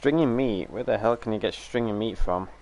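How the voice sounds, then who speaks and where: A man's voice: lowish voice, fairly quick, gravelly, a little mellow, rough recording. A young man chatting casually on a live stream, rambling and grumbling, his voice a little strained from a recovering sore throat. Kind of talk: casual live stream chat while gaming.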